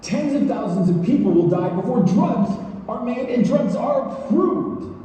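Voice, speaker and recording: A man giving an earnest, emphatic dramatic reading on a theatre stage, speaking deliberately. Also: very high-pitched, animated, warm tone. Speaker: stage performer. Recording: echoey theatre hall, distant mic, noise.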